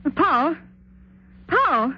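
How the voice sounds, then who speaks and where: An actress playing an anxious young wife in a 1950s radio suspense drama, speaking in a tense, hesitant, dramatic way. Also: female voice, sits high, very animated, very slow, noisy background, exaggerated voice. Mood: uneasy, then frightened, urgent. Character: anxious young wife narrating her frightening mystery. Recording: dull vintage radio, music underneath.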